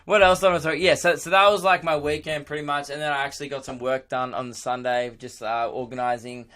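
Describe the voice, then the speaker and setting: A young man with a hoarse, worn-out voice, rambling excitedly on his own podcast, laughing and swearing as he goes. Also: medium pitch, fast. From Australia. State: hoarse, lost voice. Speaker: podcast host and radio presenter. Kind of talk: casual solo podcast chat.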